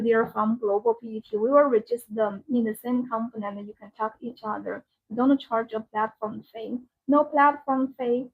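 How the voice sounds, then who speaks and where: A woman with a fairly high voice sells products on a live stream. Her English is non-native, halting and repetitive as she demonstrates and pitches. The audio is thin and tinny, as if down a phone line. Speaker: live-stream sales host.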